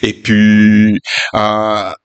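A man, a pastor, sharing a personal testimony in a reflective, hesitant way with frequent pauses and fillers. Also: fairly low pitch, completely flat delivery, clear, resonant tone, lo-fi sound.

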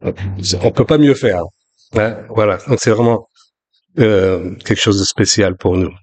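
A man from France in his sixties, preaching a sermon in a relaxed, conversational way with frequent restarts. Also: lowish voice, a little slow. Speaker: pastor.

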